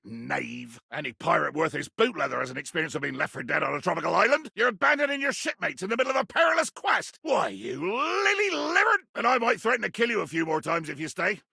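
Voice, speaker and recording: An actor playing a grandiose, menacing pirate captain in a video game, raspy and theatrical, haughty with archaic nautical insults. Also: male voice, on the high side, bright, forceful tone, no echo, studio quality, exaggerated voice. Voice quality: gravelly, raspy. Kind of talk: video game character acting.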